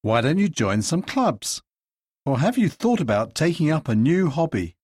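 Slightly husky man reading lesson lines. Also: fairly high pitch, moderate pace, no echo, clean studio sound. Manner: steady, carefully articulated reading. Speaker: dialogue reader in a language lesson. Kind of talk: scripted dialogue reading.

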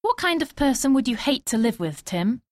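A woman reading scripted dialogue lines for a language lesson, slow and clearly spoken. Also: high-pitched, big pitch swings, no echo, studio quality.

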